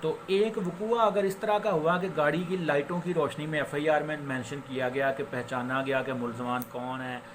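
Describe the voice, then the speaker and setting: A man with a Pakistani accent giving an informal law lecture, explaining with examples and switching into English legal phrases, which he reads slowly and haltingly. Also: fairly high pitch, steady tone, fairly bright tone. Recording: noticeable background noise.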